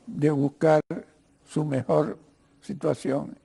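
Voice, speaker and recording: An older man with a Cuban-Argentine Spanish accent, speaking haltingly and professorially as a guest in a political interview, often repeating words as he searches for the next. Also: a little high, little pitch movement, vocal fry, mellow, breathy edge. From Cuba. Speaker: interview guest, writer and lawyer. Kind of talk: political discussion in a broadcast interview.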